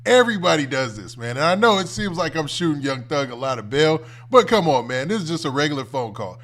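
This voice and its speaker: A man's voice: on the high side, big pitch swings, brisk, a bit bright. An American man hosting a commentary stream, reacting off the cuff in a casual, colloquial, conversational way. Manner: conversational, colloquial, often ends phrases with "man". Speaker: host of a commentary livestream.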